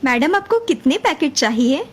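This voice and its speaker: A woman's voice: high voice, sing-song, moderate pace. A woman voicing a polite, eager young saleswoman in a cartoon story.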